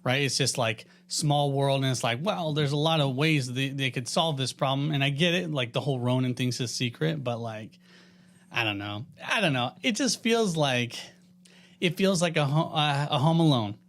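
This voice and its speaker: A man's voice: mid-range voice, expressive, a bit bright. A man co-hosting a podcast, chatting casually and slangily about a show, often repeating his phrases. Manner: informal, slangy, halting delivery with repeated phrases. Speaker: podcast co-host. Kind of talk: casual TV review chat.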